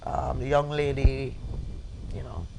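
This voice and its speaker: Low-voiced woman in her forties, noisy recording. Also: little pitch movement, gravelly. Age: 40s. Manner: halting, repetitive, emphatic. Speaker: interview guest, bereaved mother. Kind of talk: recounting personal story in conversation.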